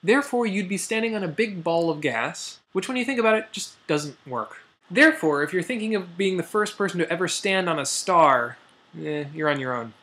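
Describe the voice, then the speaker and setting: A man's voice: sits high, animated, brisk, fairly bright tone. A young American man hosting a chatty science news explainer, dropping jokey asides and mock exclamations as he goes. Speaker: host of a science news video show. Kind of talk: chatty, semi-scripted explainer to camera.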